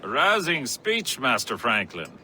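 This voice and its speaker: A man playing a suave, menacing, haughty gentleman villain in a video game, with pompous, theatrical delivery. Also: mid-range voice, huge pitch swings, measured pace, a little hiss or hum, heavily acted. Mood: courteous.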